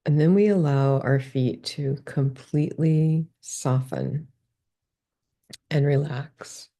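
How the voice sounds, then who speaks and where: Low, gravelly woman guiding a meditation. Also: slow. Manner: calm, gentle step-by-step instructions. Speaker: meditation guide. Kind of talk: guided body scan meditation.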